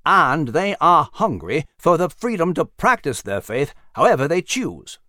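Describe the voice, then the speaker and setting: A man's voice: fairly high pitch, sing-song, a little slow, bright, forceful tone, no echo, exaggerated voice. A man voicing an erudite male animal scholar in an audiobook story, explaining history in a precise, proper lecturing manner. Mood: earnest, explaining.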